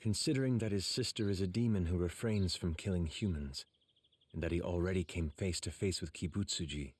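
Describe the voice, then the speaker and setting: A man playing a calm, solemn leader of warriors in an anime game, with a soft husky voice and measured, formal speech. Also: deep voice, no echo.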